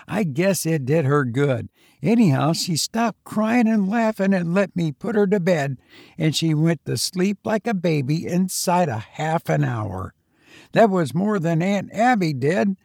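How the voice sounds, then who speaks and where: Male storyteller, unhurried, folksy dialect narration, expressive, close dry sound. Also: a little high, vocal fry, dry recording. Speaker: audiobook reader. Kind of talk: first-person story narration in rustic dialect.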